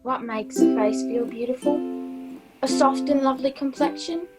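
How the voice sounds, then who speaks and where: A woman playing a gentle, persuasive old-time radio soap advertiser in a radio play. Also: sits high, moderate pace, lightly acted, rough recording. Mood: soothing. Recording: loud music under video call audio.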